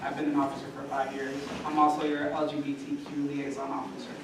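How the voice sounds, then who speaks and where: A man's voice: mid-range voice, monotone, fast talker. A man from Puerto Rico and Milwaukee, a police officer, answering casually on a panel in short plain sentences. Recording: echoey hall, distant mic, background noise.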